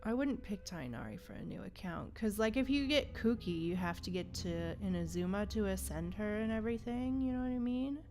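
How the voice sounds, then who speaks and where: A woman's voice: mid-range voice, animated, a little fast, few pauses, darkish tone, faint music behind. A woman livestreaming a game, chatting casually and musing aloud in an informal, slangy way. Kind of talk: casual live stream chat and commentary.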